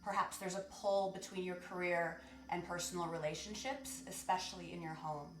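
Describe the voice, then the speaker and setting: A woman hosting a guided meditation, speaking to listeners in a measured, instructive way as she gives spiritual guidance. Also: fairly low pitch, steady tone. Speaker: guided meditation and sound bath host. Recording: roomy echo with music. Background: music.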